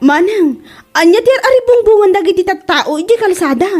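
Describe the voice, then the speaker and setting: A woman's voice: very high-pitched, animated, a little fast, faint music behind. A woman playing an anxious, naive young sister in a comedy drama, plaintive and full of questions. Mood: alarmed. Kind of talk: comedy drama voice acting in Ilocano.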